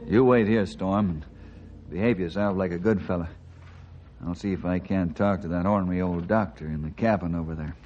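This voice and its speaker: Man playing friendly low-voiced ranger, muffled old radio. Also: moderate pace, darkish tone, natural voice. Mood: affable. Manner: folksy, patient, conciliatory. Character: friendly, calm, well-meaning male forest ranger. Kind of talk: old-time radio drama acting. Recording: muffled vintage radio recording, faint noise.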